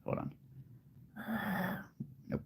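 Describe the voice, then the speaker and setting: A man with a very deep voice chatting casually on a live stream, relaxed and rambling. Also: very slow, a little hiss or hum. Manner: relaxed, rambling, laughs often. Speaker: live streamer and hobby voice actor.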